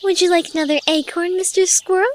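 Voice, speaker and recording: A woman voicing a gentle, kindly young female pegasus pony from a cartoon, soft, polite and soothing. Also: very high voice, fairly even intonation, fast talker.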